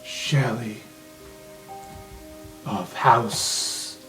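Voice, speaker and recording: A man's voice: medium pitch, bright, forceful tone, soft background music. A man voicing a stern, haughty noble lion-man patriarch in a tabletop game, curt and probing. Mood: stern, suspicious. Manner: curt, probing questions with measured pauses.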